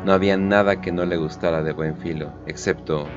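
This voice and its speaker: A man narrating a dramatic war story as a scripted audio drama, solemn and deliberate. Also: deep voice, steady tone, highs cut off, music in the background.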